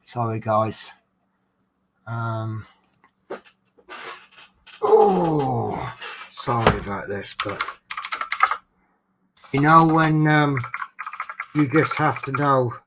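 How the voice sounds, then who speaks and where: A man's voice: mid-range voice, expressive, extremely slow, pauses often, very noisy. Chatty man with a Norfolk accent. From England. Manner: rambling, chatty, many ums. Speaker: vlogger. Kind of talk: casual chat while crafting.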